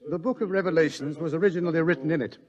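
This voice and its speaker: An actor playing a sardonic, world-weary scientist in a radio drama, wry and theatrical in delivery. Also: male voice, fairly high pitch, racing, big-room sound, muffled recording, a crowd in the background, natural voice. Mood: brooding, wry. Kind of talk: mid-century radio drama acting.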